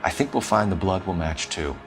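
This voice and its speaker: An earnest American detective from a 1940s crime game. His delivery is firm and clipped, swinging widely in pitch as he accuses, with faint music underneath. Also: lively intonation, brisk. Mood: stern, pressing. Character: earnest, driven 1940s police detective, pressing suspects. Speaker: police detective in a video game. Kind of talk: dramatic game acting, interrogation.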